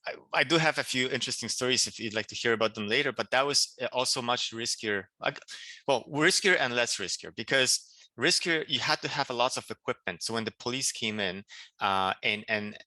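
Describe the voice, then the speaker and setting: A man from Poland with a Polish accent, answering interview questions in a halting way with many restarts. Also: mid-range voice, sharp tone, dry recording. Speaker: political candidate.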